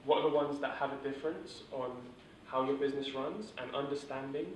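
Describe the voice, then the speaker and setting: A man leads a workshop in a loose, conversational teaching style with plenty of ums and restarts. His voice stays flat and even in pitch. It sounds caught by a distant mic in a very echoey room with a faint hiss underneath. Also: fairly quick. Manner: steady, monotone delivery with filler words. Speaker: workshop instructor. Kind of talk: informal teaching talk.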